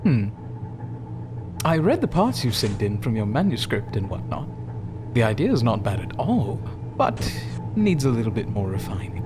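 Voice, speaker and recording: A man playing a genial, patronizing Victorian gentleman novelist in an audio drama. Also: mid-range voice, very animated, moderate pace, background noise, close to an everyday voice. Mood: smugly encouraging. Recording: loud music under the voice.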